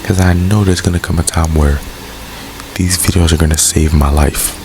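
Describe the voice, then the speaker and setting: Deep gravelly young man, earnest. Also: fairly even intonation, normal speed, noisy background. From United States. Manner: earnest, candid, repeats phrases for emphasis. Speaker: vlogger and poet. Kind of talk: reflective personal monologue. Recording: background music.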